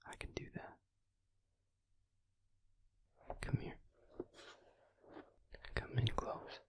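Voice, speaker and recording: A man playing a brooding, protective vampire lover in an intimate audio roleplay, whispering slowly and haltingly with a breathy, gravelly voice. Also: a bit bright. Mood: tender, soothing. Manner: slow, halting delivery with pauses.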